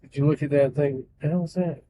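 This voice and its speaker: A man's voice: a little high, steady tone, quick pace, background noise. A man hosting a podcast while driving rideshare, chatting casually and prompting his passengers. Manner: friendly, prompting questions. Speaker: rideshare driver and podcast host. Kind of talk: casual chat. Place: inside a car. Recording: hidden mic in a car.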